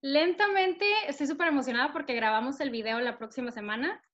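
A woman's voice: high voice, brisk, a bit bright, lo-fi sound. A young woman from northern Mexico with a northern Mexican accent, chatting upbeat with many fillers in an interview over a video call. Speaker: singer-songwriter guest. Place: bright room at home. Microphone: laptop camera on video call.

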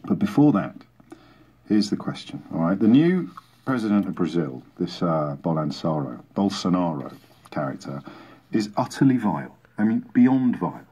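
A man's voice: low-pitched, very animated, normal speed, dark tone, slightly rough. A man hosting talk radio with an emphatic, opinionated monologue full of rhetorical repetition, heard from a radio speaker. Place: played through a portable radio indoors. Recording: radio speaker filmed in a room.

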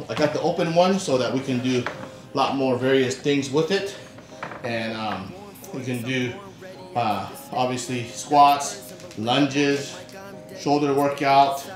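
A middle-aged man giving a casual vlog tour, speaking haltingly as he searches for words. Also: medium pitch. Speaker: vlogger, channel host. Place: small garage home gym, indoors. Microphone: camera mic, handheld or a few feet away. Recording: echoey garage with music behind.

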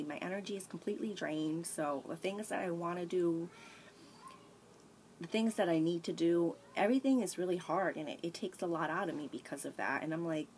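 Low-pitched thirtysomething woman just rambling into her tablet, kinda candid, faint hiss behind. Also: darkish tone. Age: 30s. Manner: rambling, candid, frequent restarts and sighs. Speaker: vlogger. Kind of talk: casual confessional chat. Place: small room by a sunny window, indoors. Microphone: tablet camera at arm's length.

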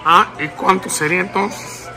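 A man's voice: high-pitched, steady tone, natural voice. A man putting on a boozy, courteous old-time male crooner, drawling politely and sometimes crooning, in a prank phone call. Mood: cheeky, polite. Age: young adult. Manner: slow, drawling, polite, breaking into song. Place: large public lounge indoors. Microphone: camera at arm's length. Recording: music playing behind.

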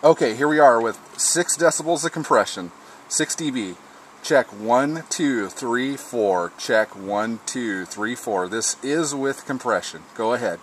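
A man's voice: mid-range voice, lively intonation, a little slow. A man speaking casually as an amateur radio operator testing his rig, recorded outdoors. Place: outdoors on a patio table beside a lawn. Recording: faint background noise, recorded outdoors.

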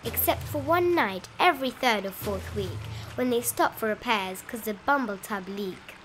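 Female storybook narrator, highish voice, sing-song rhymes for children, some background noise on the recording. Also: lively intonation, normal speed. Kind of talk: expressive read-aloud of a rhyming children's bedtime picture book.

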